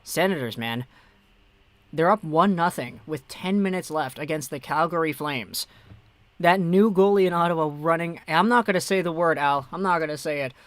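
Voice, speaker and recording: A young man with a fairly high voice hosts a laid-back hockey live stream. He chats easily, reading out viewer messages and answering them. The sound is dry and close, with no room echo. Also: normal speed, a bit bright. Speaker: hockey livestream host. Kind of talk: casual live-stream chat.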